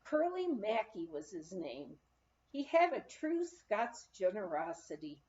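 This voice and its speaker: A woman reading a memoir aloud as scripted narration, in a measured storytelling manner with deliberate pauses. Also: mid-range voice, big pitch swings, darkish tone, highs cut off. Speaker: audiobook reader.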